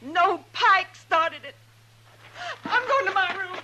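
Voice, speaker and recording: High-voiced, spirited western wife. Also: female voice, expressive, slow, pushed and bright, exaggerated voice. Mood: upset, defiant. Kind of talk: 1950s radio western acting. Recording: faintly noisy 1950s radio recording.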